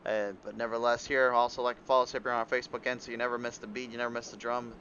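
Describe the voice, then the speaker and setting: Bright-voiced man calling a sim race. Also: mid-range voice, little pitch movement, racing, highs cut off, slight background noise. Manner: rambling play-by-play with restarts and fillers. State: under the weather, voice cutting out. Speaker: race commentator. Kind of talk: live sim racing commentary.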